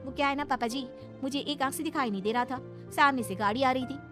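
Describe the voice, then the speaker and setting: A woman's voice: sits high, fast, close to an everyday voice. A woman voicing a coy, cheeky young bride in a cartoon, with a mock-innocent manner. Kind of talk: scripted Hindi cartoon dialogue. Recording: music behind the voice.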